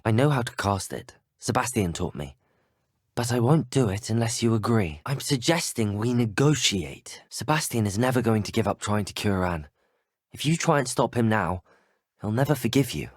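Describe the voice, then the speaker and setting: Husky, well-spoken young British student wizard. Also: male voice, fairly low pitch, dry recording, clean studio sound. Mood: earnest. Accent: British English. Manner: courteous, formal, well-spoken. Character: polite, earnest young male student wizard, game hero. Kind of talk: scripted video game dialogue lines.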